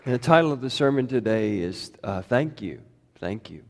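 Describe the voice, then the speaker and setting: A middle-aged man, a pastor, preaching a chatty sermon in a church, his voice a bit hoarse from shouting, full of fillers and restarts. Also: medium pitch, expressive, moderate pace, some chatter behind. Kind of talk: conversational sermon. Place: church sanctuary. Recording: long reverb of a church hall.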